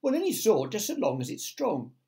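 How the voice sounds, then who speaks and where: A man voicing a small, earnest boy hero in a children's story, tentative and soft-spoken. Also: high-pitched, wildly swooping pitch, fairly quick, gravelly, pitched above the performer's own voice, full character voice. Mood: eager, questioning.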